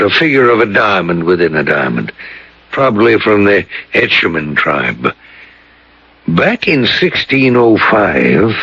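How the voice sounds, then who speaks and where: Gravelly, husky old-radio colonel. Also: male voice, on the low side, fairly bright tone, heavily acted. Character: earnest, superstitious retired colonel, male, grave storyteller. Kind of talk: radio drama acting. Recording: dull, roomy old radio broadcast.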